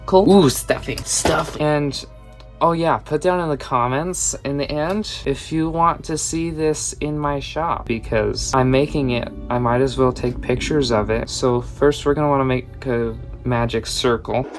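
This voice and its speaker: A man's voice: medium pitch, measured pace. Chatty young man over background music. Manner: chatty, rambling, drifts off topic. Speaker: crochet vlogger and small shop owner. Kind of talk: casual crochet tutorial chat.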